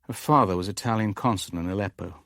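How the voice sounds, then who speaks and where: A man's voice: lowish voice, fast, fairly bright tone, dry recording. An actor playing a worldly, wry Levantine businessman who narrates a radio drama in a dry, clipped way. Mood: wry, matter-of-fact. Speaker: narrator and lead character. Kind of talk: radio drama first-person narration and dialogue.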